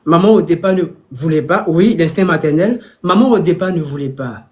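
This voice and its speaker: A man's voice: a little high, animated, a little fast, darkish tone. A man talking earnestly as an interview guest, often repeating phrases to stress his points. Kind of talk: interview over a call.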